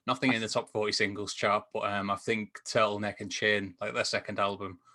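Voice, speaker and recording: A man chatting casually as a film review panellist, in a halting way peppered with "like". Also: on the low side, little pitch movement, pushed and bright.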